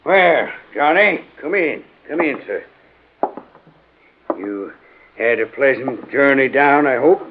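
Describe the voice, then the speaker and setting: An actor playing a wealthy, commanding Southern collector with folksy speech, in mid-century American radio drama style. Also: male voice, mid-range voice, expressive, slow pace, pauses often. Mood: courteous, hospitable. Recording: noisy, tinny old radio recording.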